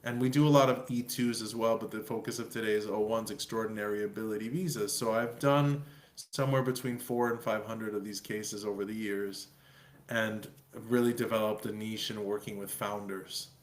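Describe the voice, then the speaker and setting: A man's voice: fairly low pitch, steady tone, normal speed. A middle-aged man from Argentina, an immigration lawyer, answering in an earnest, explanatory way on a video call. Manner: earnest, explanatory, repeats words as he restarts. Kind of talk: webinar interview answer. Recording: echoey room.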